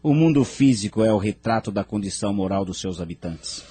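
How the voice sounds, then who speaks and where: A man playing a noble spirit mentor in an audio drama, narrating in a measured, formal, grave manner. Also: fairly low pitch, expressive, mellow, slight background noise, close to an everyday voice. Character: Inumar, grave noble male spirit mentor recounting history.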